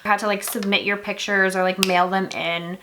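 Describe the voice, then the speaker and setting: A woman's voice: mid-range voice, level tone, brisk, background noise. A young woman from Singapore chatting casually while doing her makeup, filling her talk with lots of "like". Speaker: beauty vlogger.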